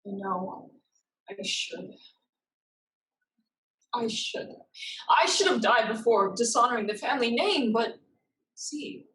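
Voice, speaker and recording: A young female refugee and survivor played by a woman in a stage drama. Her voice sits slightly high and moves slowly. She speaks with earnest, halting respect and a burden of shame. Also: lively intonation, fairly bright tone, rough recording. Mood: ashamed. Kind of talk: staged play reading, earnest dramatic acting.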